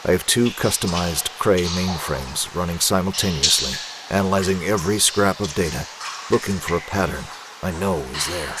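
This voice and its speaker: Very deep, brooding vigilante played by a man. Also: very noisy, lightly acted. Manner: intense, urgent, dramatic delivery. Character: brooding, obsessive adult male masked vigilante, unraveling. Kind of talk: dramatic comic-book voice acting.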